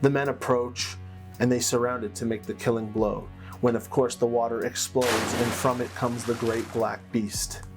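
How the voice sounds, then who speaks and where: A man narrates fantasy lore as a game master and host. His voice is mid-pitched, with a measured storytelling delivery and dramatic pauses. Plucked guitar music plays clearly behind him. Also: little pitch movement.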